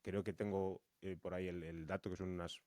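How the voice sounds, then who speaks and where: Male co-host, lowish voice, Spain Spanish, casual halting storytelling, dry studio-like sound. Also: little pitch movement, a little mellow, no room sound. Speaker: podcast co-host, fiction podcast creator. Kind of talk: casual conversation, telling anecdotes.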